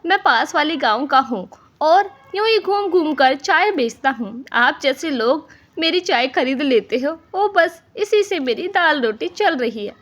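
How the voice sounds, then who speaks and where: A woman's voice: high voice, lively intonation, a little slow, fairly bright tone. A woman voicing an ingratiating male roadside tea seller in a cartoon story, with sing-song vendor calls.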